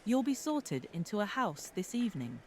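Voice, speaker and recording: Woman playing a stern schoolteacher with animated intonation. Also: medium pitch, a little hiss or hum, close to an everyday voice. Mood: stern, formal. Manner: brisk, formal, commanding. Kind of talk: audio drama acting.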